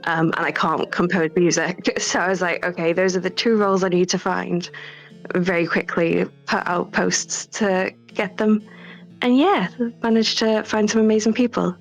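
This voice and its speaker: A woman's voice: lowish voice, fairly even intonation, normal speed, soft background music, rough recording. A woman game developer chatting casually in an interview over an online voice call.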